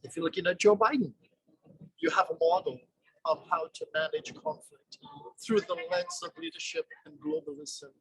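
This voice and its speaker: Gravelly, lively-voiced Englishman speaking outdoors. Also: high-pitched, no echo, low-quality recording. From born in Guyana, raised in England. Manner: earnest, rhetorical questions, thoughtful pauses. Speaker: summer program teacher. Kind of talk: online presentation of his class.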